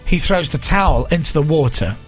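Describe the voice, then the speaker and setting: Jokey male radio host, somewhat high voice. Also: animated, soft background music. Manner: jokey, halting, lots of restarts. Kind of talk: casual chat show.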